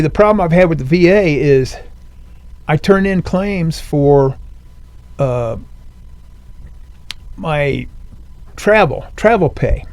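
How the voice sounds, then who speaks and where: Older man with a strained, gravelly voice. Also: a little mellow, dry recording. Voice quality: high-pitched, strained since surgery. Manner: rambling, plainspoken, frequent uh fillers. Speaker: interview guest, veteran. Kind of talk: conversational personal story. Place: veteran center studio.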